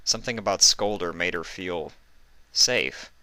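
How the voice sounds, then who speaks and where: A man's voice: low voice, a little slow, bright, forceful tone, dry recording. A man reading fan fiction aloud as dramatic scripted story narration.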